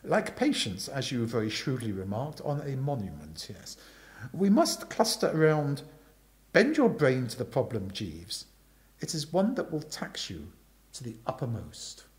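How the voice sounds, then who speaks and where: A man voicing an amiable, chatty young upper-class gentleman in a storybook reading, earnest and rambling. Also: medium pitch, huge pitch swings, measured pace.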